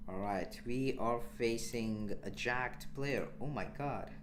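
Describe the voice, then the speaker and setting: A young man from India commentating his live game stream in a relaxed, chatty way, answering chat as he plays. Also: mid-range voice, slow, a little hiss or hum. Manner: relaxed, chatty, with frequent restarts and small laughs.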